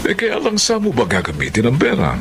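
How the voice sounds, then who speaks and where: An actor playing an agreeable, chatty Filipino neighbour in a radio drama, friendly and animated. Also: male voice, mid-range voice, fairly quick, vocal fry, noisy background.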